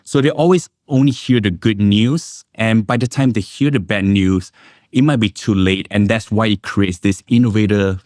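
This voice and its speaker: A middle-aged man from Indonesia and Singapore, an investment analyst, giving measured, reflective answers as an interview guest. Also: on the low side, darkish tone, dry recording, studio quality.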